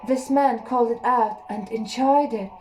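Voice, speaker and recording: A woman reading a first-person erotic story aloud in a slow, intimate way, with soft pauses. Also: fairly high pitch, steady tone, slight background noise, poor audio. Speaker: audiobook narrator.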